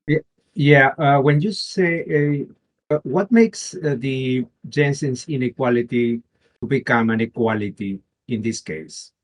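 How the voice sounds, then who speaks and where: A man taking part in a group discussion, asking questions in a halting way with repeated words. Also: medium pitch.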